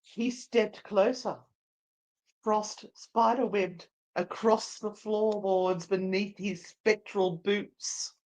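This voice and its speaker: Female narrator for paranormal romance, medium pitch, unhurried, theatrical delivery. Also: fairly even intonation, a little mellow, low-quality recording. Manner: deliberate, theatrical. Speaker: narrator and co-host. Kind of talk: dramatic story narration, live read.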